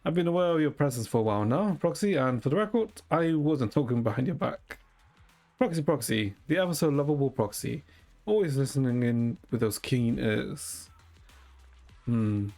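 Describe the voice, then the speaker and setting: Male game streamer, mid-range voice, casual and musing, reading story text over soft game music. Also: medium pitch, expressive, normal speed, gravelly. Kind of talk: casual gameplay commentary, reading story dialogue aloud. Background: video game music.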